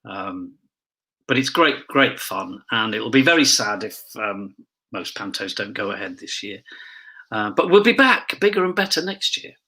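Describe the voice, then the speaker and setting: A man's voice: fairly low pitch, very animated, normal speed, bright, forceful tone. A man who performs as a pantomime dame, chatting casually and telling theatre anecdotes as an interview guest.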